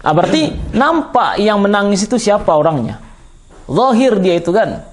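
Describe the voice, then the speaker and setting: Male religious-school teacher, Minangkabau Indonesian accent, high voice, didactic question-and-answer delivery. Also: sing-song, normal speed. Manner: didactic, repeats and quizzes listeners. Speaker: Islamic teacher of Arabic grammar. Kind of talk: classroom lesson, explaining with questions to students.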